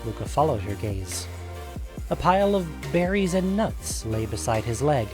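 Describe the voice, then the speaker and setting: A man's voice: mid-range voice, sing-song, normal speed. Male fantasy narrator over music. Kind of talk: scripted fantasy story narration.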